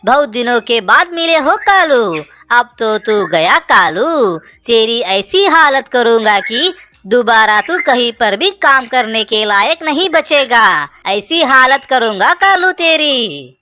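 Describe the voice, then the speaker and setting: Woman voicing a taunting, slow, bright cartoon owl. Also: a little high, expressive, slight background noise, heavily acted. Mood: vengeful. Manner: taunting, menacing. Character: vengeful bullying male owl, taunting old enemy. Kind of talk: children's cartoon story dialogue.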